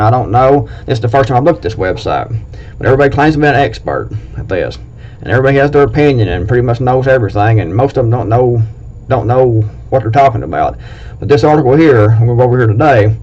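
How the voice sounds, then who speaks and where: A man's voice: medium pitch, a little mellow. Blunt Appalachian man, lo-fi. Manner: blunt and plain-spoken, rambling with restarts. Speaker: prepping video host. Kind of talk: casual talk to camera, reading a list. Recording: dull lo-fi recording.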